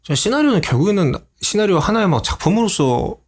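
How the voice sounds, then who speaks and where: Fast-talking modest man. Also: sits high, big pitch swings, a little mellow. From Chungcheong region, Korea. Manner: earnest, modest, self-deprecating. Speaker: film director, guest. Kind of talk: casual interview conversation.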